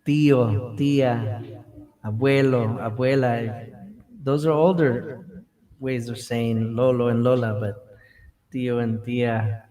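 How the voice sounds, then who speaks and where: A man's voice: mid-range voice, steady tone, slow pace, long pauses, dark tone, low-quality recording. A man co-hosting a livestream, chatting casually, laughing often and dropping in Spanish and Bisaya words. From United States. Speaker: livestream co-host.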